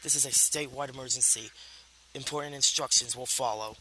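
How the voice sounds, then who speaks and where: A man reading a scripted TV station interruption bulletin in a formal, measured announcer's delivery. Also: mid-range voice, fairly even intonation, bright, forceful tone, slight background noise, rough recording.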